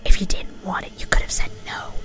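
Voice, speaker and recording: A woman's voice: a little fast, lightly acted. A woman voicing a sadistic, cheerful female cartoon pony in whispered ASMR fan fiction, chiding and casually menacing. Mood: casual teasing.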